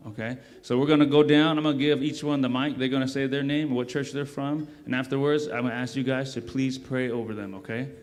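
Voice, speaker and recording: A man's voice: medium pitch, fairly even intonation, a little fast, few pauses, in a large hall. A man leading a church service, speaking casually and encouragingly to the congregation and repeating himself often. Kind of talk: addressing a congregation.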